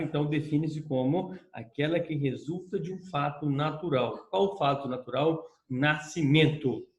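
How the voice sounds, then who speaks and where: A man with a Brazilian Portuguese accent giving a recorded law lecture, explaining step by step and checking in with his students. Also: on the high side. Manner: explanatory, repeats points with "tá?" check-ins. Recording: echoey room.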